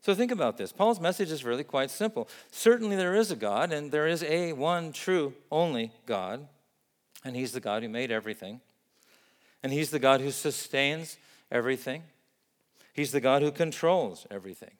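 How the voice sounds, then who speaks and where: A man's voice: a little high, lively intonation. Man preaching in a reverberant hall. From Vietnam. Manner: earnest, measured preaching with emphatic lists. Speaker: pastor. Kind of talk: sermon.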